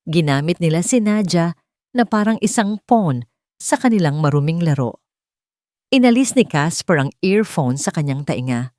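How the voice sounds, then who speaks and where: A woman's voice: mid-range voice, mellow, dry recording, studio quality. A woman narrator reading a scripted dramatic romance story.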